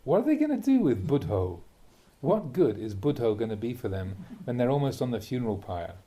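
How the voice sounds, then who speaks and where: A man, a Buddhist monk, reads a translated spiritual talk aloud in a measured, emphatic way, posing rhetorical questions. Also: medium pitch, big pitch swings, dark tone. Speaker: Buddhist monk reading a teacher's talks aloud. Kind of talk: reading a translated Dharma talk aloud, with brief asides.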